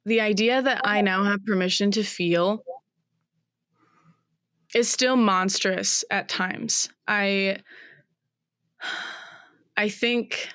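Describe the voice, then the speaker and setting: A woman in her twenties, a guest in an interview, talking in a thoughtful, searching way with many pauses and restarts. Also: mid-range voice, steady tone, a bit bright, no room sound, studio quality. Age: 20s. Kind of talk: reflective interview conversation.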